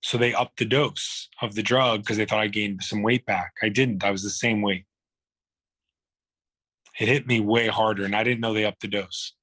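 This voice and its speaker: A man's voice: on the low side, steady tone, normal speed, a bit bright, no echo, rough recording. A man, telling his own illness story in a blunt, profane, rambling way. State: hoarse. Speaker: guest. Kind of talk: candid personal storytelling.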